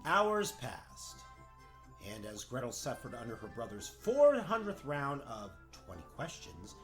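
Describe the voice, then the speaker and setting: A man's voice: mid-range voice, wildly swooping pitch, unhurried, a bit bright, soft background music. A man playing a wry, mock-grand narrator in a comedic fairy-tale radio play. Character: wry, theatrical storybook narrator. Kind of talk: comedic scripted storytelling.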